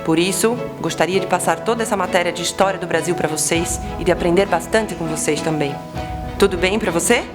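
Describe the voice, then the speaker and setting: A woman's voice: lowish voice, music in the background, close to an everyday voice. A woman playing a formal Portuguese-accented teacher. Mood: explaining. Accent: Portuguese (European). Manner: formal, measured classroom explaining. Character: new history teacher from Portugal, patient and formal. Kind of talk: student short-film acting.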